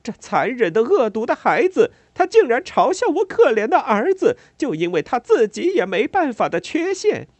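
A man voicing a distraught mother who shrieks in a sharp, trembling voice. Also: wildly swooping pitch, normal speed, hardly any pauses, dry recording, heavily acted. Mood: hysterical fury. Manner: shouting, shrill, frantic.

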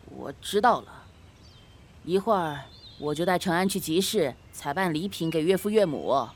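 A woman playing an earnest young gentleman magistrate in a Chinese period audio drama, polite and expressive. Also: lowish voice, moderate pace, a little hiss or hum, natural voice. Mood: drowsy, reluctant.

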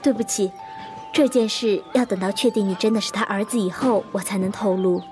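An actress playing a composed, probing woman investigator in an audio drama, measured and introspective as she recalls a fateful case. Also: mid-range voice, lightly acted. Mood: calm, firm. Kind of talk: audio drama narration and dialogue. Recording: echoey long reverb, music behind.

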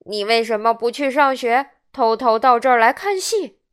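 A woman voicing a stern, blustering male puppet-theatre boss with a soft heart in a children's storybook. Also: fairly high pitch, animated, normal speed, clean tone, close to an everyday voice. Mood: stern questioning. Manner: loud, commanding, scolding.

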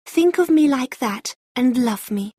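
A woman's voice: high voice, expressive, measured pace, mellow, slightly rough, dry recording, clean studio sound, exaggerated voice. A woman playing a sweet, childlike young wife who speaks in a tender, coaxing way.

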